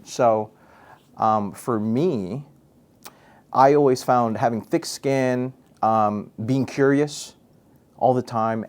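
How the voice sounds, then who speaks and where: Young man from Guam, radio host and MC, relaxed and playful, casual interview tone. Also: lowish voice. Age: young adult. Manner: goofy, laid-back, chatty with fillers. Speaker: radio personality and MC, interview guest.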